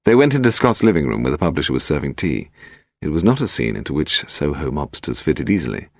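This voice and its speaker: A man's voice: very deep voice, sing-song, fast, no echo. A man reading a scripted story as an audiobook narrator, acting it out.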